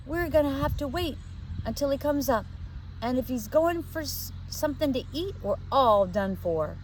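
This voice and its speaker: A woman voicing a cheeky, bossy working-class boy in a storybook, talking in hushed slangy dialect. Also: high voice, animated, normal speed, a little hiss or hum, pitched above the performer's own voice, low-quality recording. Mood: grumbling, hushed. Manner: whispering, slangy street dialect.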